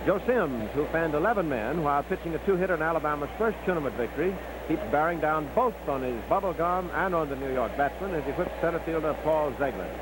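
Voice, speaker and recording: A man's voice: fairly high pitch, expressive, quick pace, hardly any pauses, background noise. A man narrating baseball play-by-play in 1950s newsreel style, on an old recording.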